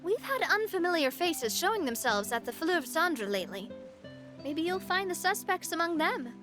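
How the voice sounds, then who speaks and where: A breezy, confident young leader. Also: female voice, extremely high pitch, very animated, moderate pace, music in the background. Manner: breezy, self-assured. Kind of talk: video game voice acting.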